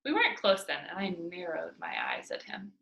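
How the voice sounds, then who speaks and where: Bright, animated woman reading a story aloud. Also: lowish voice, normal speed. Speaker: read-aloud narrator. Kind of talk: expressive children's novel read-aloud. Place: indoors at home by a fireplace. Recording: roomy home recording.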